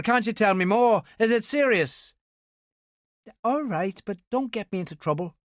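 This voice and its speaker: A woman's voice: mid-range voice, no echo, clean studio sound, voice pushed up, lightly acted. A male narrator voicing a young female police sergeant from Northern Ireland, with an Ulster accent and a polite, official manner. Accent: Northern Irish.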